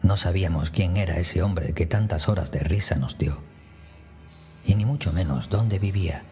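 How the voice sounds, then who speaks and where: A man's voice: deep voice, moderate pace, mellow, faint music behind. A man narrating a scripted first-person horror story as a storyteller.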